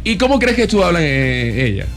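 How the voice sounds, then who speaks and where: Higher-pitched Colombian man co-hosting radio. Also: wildly swooping pitch, moderate pace, fairly bright tone. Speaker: radio co-host. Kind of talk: casual live radio banter. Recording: music playing behind the voice. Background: background music.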